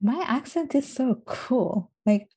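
A woman's voice: fairly high pitch, lively intonation, takes it slowly, mellow, slightly rough. A woman with a strong Italian accent, chatting as a guest in an earnest, emphatic way. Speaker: interview guest. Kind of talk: casual interview chat.

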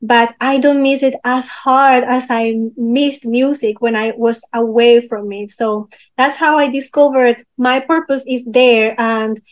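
A young woman from Peru answering interview questions casually, often pausing and restarting as she searches for words. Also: a little high. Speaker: guest musician.

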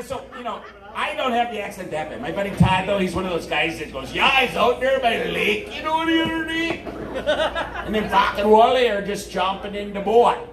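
Middle-aged man with a Wisconsin accent, high and bouncy delivery, heard from a distance in a big echoey hall with a loud crowd. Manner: crude, swearing, self-mocking jokes. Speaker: comedian. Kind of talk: stand-up comedy bits. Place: large hall.

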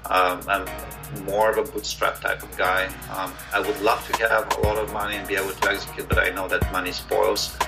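A man's voice: sits low, flat delivery, normal speed, vocal fry, hardly any pauses, over music, poor audio. A man in his forties from Bulgaria, a guest entrepreneur who talks reflectively in an interview with many ums and uhs. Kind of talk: conversational interview.

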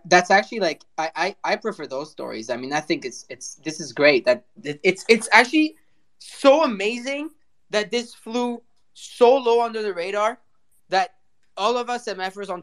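A man's voice: a little high, a bit bright. A man hosting a live audio chat show, talking casually in slang and often repeating his words as he goes. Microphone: remote live audio call.

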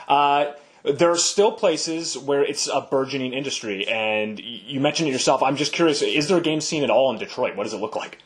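A man's voice: medium pitch, lively intonation, brisk. A man hosting a podcast interview, asking long, winding questions in a casual, conversational way. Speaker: podcast host. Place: Los Angeles.

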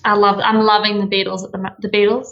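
A woman's voice: mid-range voice, level tone, fast talker, muffled recording. A young woman with an Australian accent, chatting as an interview guest in a bubbly, giggly way and drawing out words for emphasis. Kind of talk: casual interview chat.

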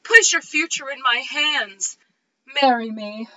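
A woman playing a smooth-talking gentleman suitor in a cold read of a comic play, soothing and ingratiating. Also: high voice, takes it slowly, dry recording, dull audio, heavily acted. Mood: wooing.

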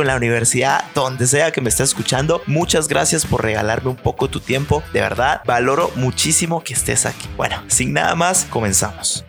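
A man's voice: medium pitch, creaky, a bit bright. A man in his twenties with a Guatemalan accent, hosting a solo motivational podcast in an earnest, upbeat way with friendly tag questions. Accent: Guatemalan Spanish. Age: 20s. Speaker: podcast host.

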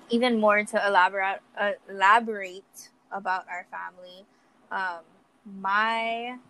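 A young woman from Hawaii co-hosting a podcast, talking loosely with lots of fillers and easy laughter. Her voice sits at an ordinary pitch. A faint hiss of noise runs underneath. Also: bright, forceful tone, poor audio. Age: 20s. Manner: chatty, laughs often, filler words. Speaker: podcast co-host. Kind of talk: casual chat.

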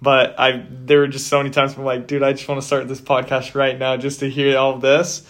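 A man's voice: medium pitch, monotone, fairly quick, a bit bright. A young man hosting a podcast, upbeat and enthusiastic, with casual slang. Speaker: podcast host. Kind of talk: podcast interview.

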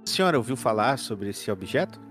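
A man voicing a polite, probing male detective in a tabletop game, formal and deliberate. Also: mid-range voice, sing-song, music in the background, lightly acted.

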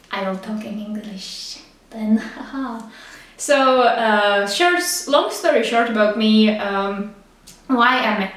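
A young woman from the Czech Republic with a Czech accent, rambling casually to the camera with lots of fillers and laughs. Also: medium pitch. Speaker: vlogger. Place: small studio with street traffic outside. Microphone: camera's built-in mic, no external microphone. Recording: roomy, distant camera mic with faint street noise.

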